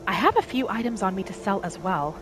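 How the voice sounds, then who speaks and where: A woman playing a gentle, homesick wood elf wanderer who thinks aloud softly in a first-person video game roleplay. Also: medium pitch, lively intonation, brisk, natural voice. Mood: musing, curious. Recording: music and rain behind the voice. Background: game music and rain.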